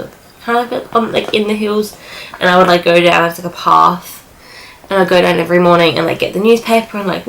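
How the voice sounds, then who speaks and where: Low-voiced, creaky young woman vlogging casually. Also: fairly bright tone, a little hiss or hum, poor audio. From New Zealand and Australia. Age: young adult. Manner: rambling, frequent "like" and self-corrections. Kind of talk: casual sit-down vlog chat.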